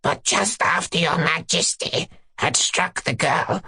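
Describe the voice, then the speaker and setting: A man voicing a meek dwarf court jester from a classic story, hesitant and subdued, with a strained, creaky voice. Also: on the high side, slow, sharp tone, voice pushed up, exaggerated voice. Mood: tranquil. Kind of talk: dramatic reading of a classic story.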